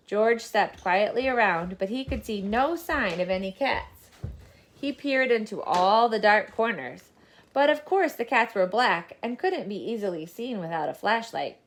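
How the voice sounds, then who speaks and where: High-voiced woman reading a children's story. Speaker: storybook reader. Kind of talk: expressive scripted children's story narration.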